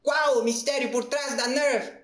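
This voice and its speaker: A man's voice: extremely high pitch, steady tone, measured pace, a bit bright, echoey room, pitched above the performer's own voice. A man voicing a cheeky, defiant female narrator of a mock-suspenseful anime preview.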